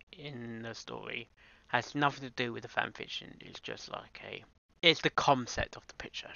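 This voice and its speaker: A man with a bright, gravelly edge to his voice gives casual, off-the-cuff reaction commentary. He stumbles and repeats himself, and the close, dry recording sounds dull and lo-fi. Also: mid-range voice, no room sound. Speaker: reaction host.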